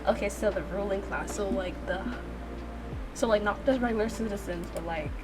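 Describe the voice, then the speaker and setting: A woman hosting a gameplay reaction, talking casually and haltingly as she thinks aloud and repeats words. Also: medium pitch, over music, rough recording. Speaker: gaming channel host reading visual novel dialogue aloud.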